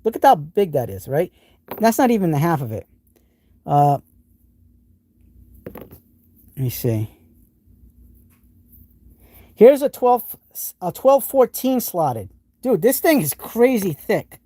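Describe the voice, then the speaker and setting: A man with a New England accent talks through his tools on a live stream, excited and rambling, often restarting his sentences. His voice is mellow and warm in tone, recorded close and dry, with little room sound around it. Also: high-pitched, slow, pauses often, no room sound. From New England, United States. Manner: enthusiastic, rambling with frequent restarts. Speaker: host of a live tool stream. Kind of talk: casual live stream, showing tools and answering chat.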